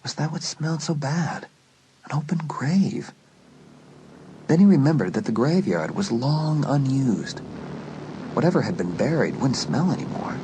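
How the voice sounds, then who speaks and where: A man's voice: medium pitch, noisy background. A man narrating a horror audiobook in a suspenseful, dramatic storytelling manner. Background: loud music.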